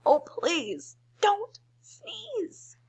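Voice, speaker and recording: Indignant little storybook bee, a woman acting it out, emphatic, fussy, high, very animated. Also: very slow, heavily acted. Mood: indignant alarm. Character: indignant, anxious storybook bees.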